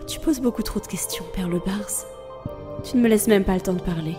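A mysterious beggar woman who is Death herself, voiced by an actress in an audio drama. She is composed and enigmatic, at a medium pitch, with music clearly behind her. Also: fairly quick, lightly acted. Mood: calm, knowing. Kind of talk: French audio drama acting.

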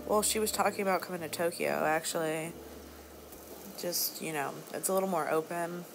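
Woman playing a low, creaky, flirty bar hostess. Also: steady tone, moderate pace, lightly acted. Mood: warm, confiding. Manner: casual, teasing, drawling asides. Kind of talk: soft-spoken ASMR roleplay. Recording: music behind the voice.

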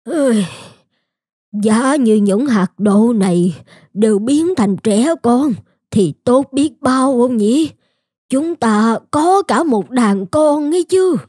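A woman's voice: on the high side, breathy edge, dry recording, clean studio sound, full character voice. A woman playing an elderly, motherly peasant wife in a fairy-tale cartoon, speaking expressively. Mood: wistful. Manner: expressive, drawn-out exclamations.

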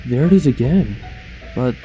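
A man's voice: medium pitch, animated, normal speed, over music, natural voice, poor audio. A young man acting an earnest, anxious, determined investigator in a comic dub. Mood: suspicious. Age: young adult.

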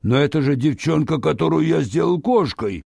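A man's voice: a little high, expressive, moderate pace, creaky, a little mellow, no room sound, clean studio sound. A man voicing a possessive, lovelorn painter with a grand, scheming manner in a storybook tale. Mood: insistent.

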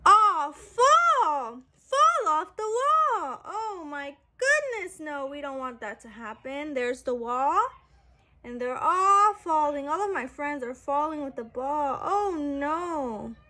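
A young woman, a preschool teacher, reading a picture book aloud to small children in an animated, sing-song, exaggerated way. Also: high-pitched, slow pace. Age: young adult. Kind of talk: read-aloud story time for small children. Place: small room at home, indoors. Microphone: phone at arm's length.

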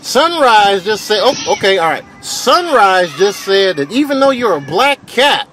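A man voicing an excitable bird fight commentator in a comic animal voiceover, with a dramatic, goading delivery. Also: high voice, a little slow, faint music behind, full character voice, low-quality recording.

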